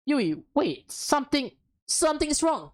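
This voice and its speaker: A woman's voice: sits high, sing-song, measured pace, pitched above the performer's own voice. A woman voicing an anxious, insistent teenage boy stuck in a girl's body in a visual novel. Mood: panicked. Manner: insistent, uneasy. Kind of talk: visual novel character read-aloud. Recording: background music under the voice.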